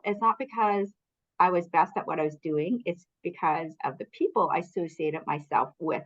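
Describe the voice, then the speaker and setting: A middle-aged woman from Canada speaks as an interview guest, candid and motivational as she shares her path. Her voice sits at an ordinary pitch for a woman. The recording sounds a little muffled and lo-fi. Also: fairly bright tone. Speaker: guest, business coach. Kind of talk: casual interview storytelling.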